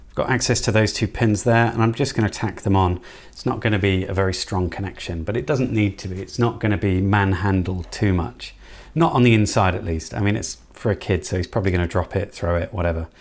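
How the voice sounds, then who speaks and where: A man's voice: sits low, brisk, vocal fry, hardly any pauses. A man giving casual, informal hands-on commentary as he works through an electronics build, half talking to himself. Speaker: electronics hobbyist presenter.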